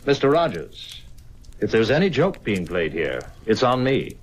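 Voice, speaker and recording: An actor playing an eerie, aloof and secretive stranger, speaking formally and theatrically in old-time radio drama style. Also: male voice, fairly low pitch, big pitch swings, measured pace. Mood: wry, resolute. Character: eerie, aloof, secretive male stranger buying his coffin. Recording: noisy, clicking old radio recording.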